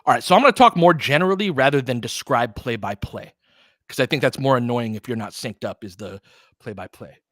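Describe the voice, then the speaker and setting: A man's voice: mid-range voice, expressive, fairly quick, fairly bright tone, no room sound, clean studio sound. A man hosting a casual fan livestream, calling basketball in short clipped phrases mixed with chatty asides. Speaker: play-by-play host.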